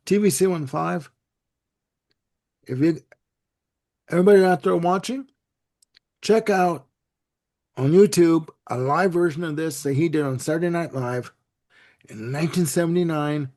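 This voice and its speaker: A man's voice: on the high side, a little mellow. A man whose first language is Italian, talking enthusiastically in a casual album discussion and rambling with "you know" and "like". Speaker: music show panelist. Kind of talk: casual panel chat about albums.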